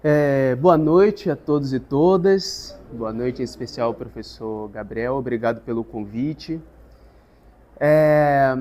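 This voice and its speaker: A man, a guest teacher giving a thoughtful, halting interview answer with many restarts. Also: sits high, a little mellow, lo-fi sound. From Salvador, Brazil.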